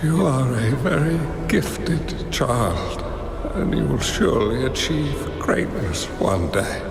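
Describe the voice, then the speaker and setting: A man playing an elderly mentor in a game, gentle and prophetic, speaking in a measured, formal, weighty way. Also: medium pitch, sing-song, gravelly, exaggerated voice. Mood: grave, caring. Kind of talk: dramatic scripted game dialogue. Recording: echoey, reverberant, music behind.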